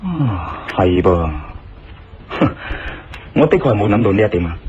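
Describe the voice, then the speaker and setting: An actor playing a thoughtful, curious male investigator in a Cantonese audio drama, with a Hong Kong accent and expressive, earnest delivery. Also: fairly low pitch, a little slow, a little hiss or hum. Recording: slightly muffled radio drama, music underneath.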